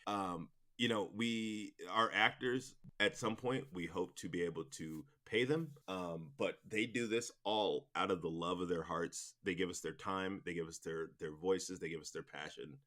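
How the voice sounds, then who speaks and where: A man's voice: sits low, normal speed, hardly any pauses, a bit bright. A man talking casually and enthusiastically as an interview guest about his work, rambling in an informal way. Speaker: interview guest, audio drama producer.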